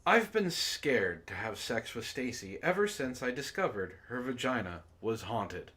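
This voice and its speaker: Guy hosting a book club stream, really animated, teasing, chats and reads out loud kinda theatrical. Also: medium pitch, measured pace. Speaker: host of a group book-reading show. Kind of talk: casual chat and reading aloud to an audience.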